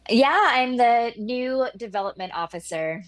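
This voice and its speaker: A woman in her forties from Philadelphia, chatting as a guest in a casual interview, bubbly and quick to laugh, with plenty of fillers. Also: fairly high pitch, lively intonation. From Philadelphia, USA. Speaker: guest, new staff member.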